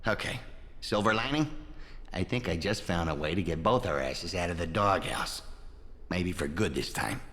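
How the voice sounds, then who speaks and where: A man's voice: low-pitched, expressive, normal speed, fairly bright tone. A slick, corrupt American politician for a video game. He is pompous and foul-mouthed, swinging widely up and down as he brags and gripes. The voice rings in a long reverb. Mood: scheming. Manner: pompous, swaggering, profane. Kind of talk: video game character acting.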